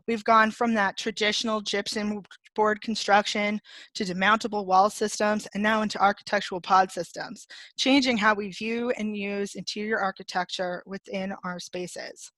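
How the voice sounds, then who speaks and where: A woman's voice: medium pitch, a bit bright, no room sound, poor audio. A woman with a Canadian accent presenting a web seminar over a video call in a friendly, explanatory way. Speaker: webinar presenter.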